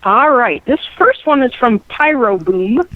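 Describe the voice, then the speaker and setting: A woman's voice: a little high, animated, a little slow, dry recording. A middle-aged woman guest reading online comments aloud fluently over a muffled, lo-fi connection. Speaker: guest reader.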